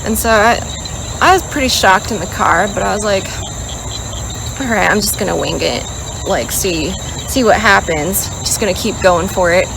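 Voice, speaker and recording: A young woman in her twenties vlogging outdoors, telling a story in a chatty, animated way and acting out quotes. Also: medium pitch, moderate pace, vocal fry, noisy background. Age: 20s. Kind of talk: casual storytelling vlog. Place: outdoors in a rural area. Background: insects and crickets chirping.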